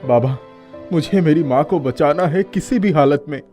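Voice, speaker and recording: An earnest young prince, acted by a man, with a soft, mellow and fairly high voice. He speaks politely, in cartoon fairy-tale style, with faint music behind. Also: moderate pace, close to an everyday voice. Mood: earnest, determined. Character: brave, polite young prince devoted to his mother.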